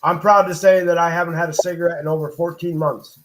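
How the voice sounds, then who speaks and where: A man in his late thirties talks casually from his living room, laid-back and easygoing. His voice sits somewhat high for a man. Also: little pitch movement, quick pace, background noise. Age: 30s. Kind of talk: casual chat.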